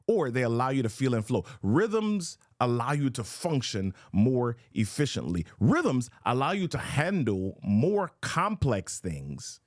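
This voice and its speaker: Man, motivational host, emphatic and repetitive, middle pitch, dry close sound. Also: a little mellow, no echo. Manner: emphatic, repeats key phrases as questions. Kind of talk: motivational live-stream talk.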